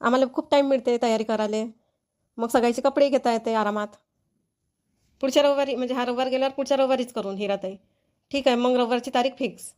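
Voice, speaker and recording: A woman's voice: on the high side, steady tone, fast, darkish tone, natural voice. A woman voicing an eager, practical aunt in a cartoon family comedy, chattering away with a Varhadi Marathi accent.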